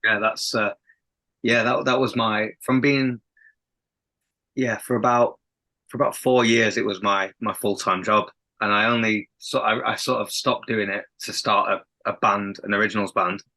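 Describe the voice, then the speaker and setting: Bright-voiced man with a faint Sheffield accent. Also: fairly low pitch, fairly even intonation, normal speed, rough recording. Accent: Sheffield, softened. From England. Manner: rambling, self-interrupting, laughs often. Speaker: band lead singer, guest. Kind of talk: casual podcast interview.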